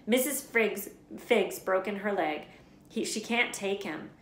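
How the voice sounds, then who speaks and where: A woman's voice: medium pitch, measured pace, echoey room, lightly acted. A woman voicing a doting, fussy middle-aged storybook mother, cooing one way and snappish the other. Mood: worried.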